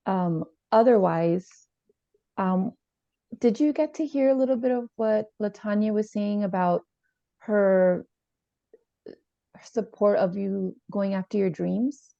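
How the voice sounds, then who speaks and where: A woman playing a calm, supportive therapist in an improvised session over a video call, speaking gently and a little hesitantly. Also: mid-range voice, slow, gravelly, long pauses. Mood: attentive, supportive. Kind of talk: improvised role-play dialogue. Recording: slightly dull, roomy video-call audio.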